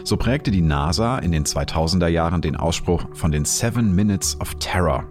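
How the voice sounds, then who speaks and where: A man hosting a science podcast, narrating from a script in a clear, explanatory way. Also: low-pitched, lively intonation, moderate pace, music in the background. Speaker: podcast host and science journalist.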